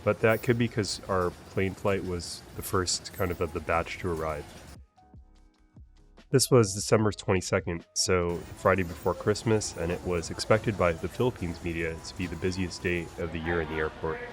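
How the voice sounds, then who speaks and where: A man talking casually as a travel vlogger, relaxed and chatty with frequent fillers. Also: low voice, normal speed, soft background music. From United States. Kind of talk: casual travel vlog narration.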